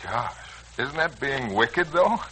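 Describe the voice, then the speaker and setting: An actor with an American accent playing an earnest, slangy, hot-headed young son in a 1940s radio serial. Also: male voice, mid-range voice, expressive, slow, bright, forceful tone, slight background noise, rough recording. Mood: wry, chatty. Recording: old, rough radio recording.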